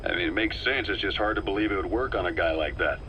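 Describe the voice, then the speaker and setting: An actor playing a grim, measured military intelligence operative giving a wary briefing in a video game. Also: male voice, natural voice. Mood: probing. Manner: measured, serious, expository. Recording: noisy cassette tape with vehicle rumble.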